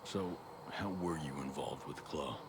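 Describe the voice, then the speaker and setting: An actor playing a calm special forces soldier in a video game, low-key, reassuring and businesslike. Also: male voice, measured pace, noisy background. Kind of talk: video game cutscene acting.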